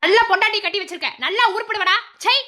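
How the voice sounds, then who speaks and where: A sharp-tongued middle-aged mother who scolds with cutting sarcasm, as a man puts her on. She sounds high and shrill, pitched far above an everyday speaking voice. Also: fast, full character voice. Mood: sarcastic scolding.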